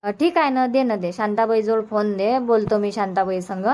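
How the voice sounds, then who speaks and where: A woman's voice: mid-range voice, moderate pace, a little mellow, slight background noise, close to an everyday voice. A woman voicing a chatty, practical village mother in a cartoon comedy, speaking with a Varhadi Marathi accent in a homely, repetitive way. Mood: chatty, explaining. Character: chatty, practical village mother, middle-aged or older, organising an outing.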